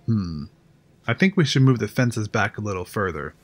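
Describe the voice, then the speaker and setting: A man's voice: lowish voice, animated, normal speed. A man voicing a wary, chain-smoking park engineer who talks in a guarded, matter-of-fact way. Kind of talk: fan audio drama acting.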